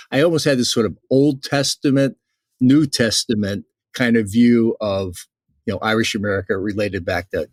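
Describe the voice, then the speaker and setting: Male host, dry close mic, halting and thoughtful delivery. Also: lowish voice, no room sound. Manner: halting, thinks aloud with many fillers. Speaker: podcast host. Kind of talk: conversational interview.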